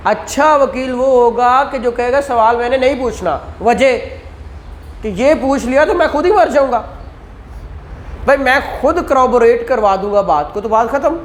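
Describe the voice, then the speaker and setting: A man's voice: very high voice, a little slow, a little hiss or hum. A man, teaching a law class and explaining points with rhetorical questions and acted examples. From Pakistan. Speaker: law lecturer. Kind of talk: classroom lecture.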